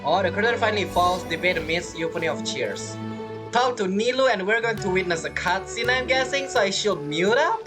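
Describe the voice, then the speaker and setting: A woman's voice: mid-range voice, lively intonation, fairly quick, over music. A woman giving chatty, casual commentary as she plays through a game, reacting aloud. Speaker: gamer commenting on gameplay.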